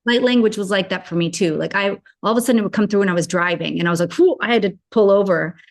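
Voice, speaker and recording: A woman's voice: medium pitch, quick pace. A woman from Germany, a spiritual channel, chatting casually as a guest, rambling with lots of fillers and restarts. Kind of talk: casual conversational chat.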